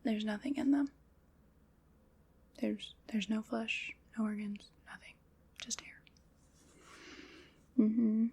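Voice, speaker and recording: A woman voicing a calm, teasing female armorer who measures a customer for armor, speaking in soft, mostly unvoiced ASMR whispers with gentle precision and dry jokes. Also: a little high, takes it slowly, gravelly, long pauses, slightly rough, full character voice. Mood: hushed, attentive. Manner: gentle, precise and wryly joking. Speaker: ASMR roleplay performer.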